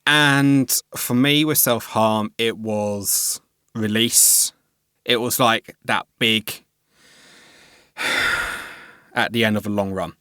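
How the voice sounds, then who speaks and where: Man, young adult, earnest, reflective, slight stammer, lowish voice, dry close mic. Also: fairly even intonation, extremely slow, pauses often, pushed and bright, clean tone, no echo. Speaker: guest. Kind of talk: candid conversational interview.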